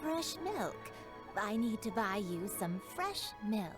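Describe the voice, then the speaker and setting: A woman playing a gentle, forgetful, doting elderly grandmother in a video game. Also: medium pitch, very animated, slow, music in the background. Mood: fussing, tender. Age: older.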